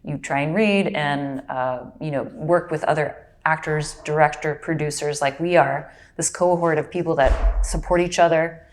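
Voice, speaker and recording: A woman from Brazil, a native Portuguese speaker, recalls her path as an actor and narrator in an unhurried, reflective way. Her voice sits low for a woman. She talks casually and tells it like a story. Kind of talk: casual interview.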